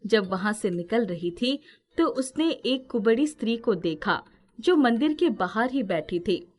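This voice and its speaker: A woman tells a scripted fairy tale. Her voice is notably high, and she moves through the narration at a slow, measured pace. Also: animated, darkish tone. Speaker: storyteller.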